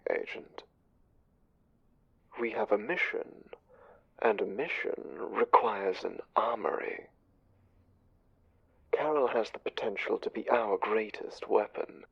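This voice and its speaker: Audio drama spymaster angel man acting: husky, low, commanding, condescending, slyly menacing. Dry, muffled sound. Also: no echo, exaggerated voice. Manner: measured, condescending, deliberate menace. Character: conniving, smooth, commanding spymaster angel, male. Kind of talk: audio drama roleplay, one side of a conversation.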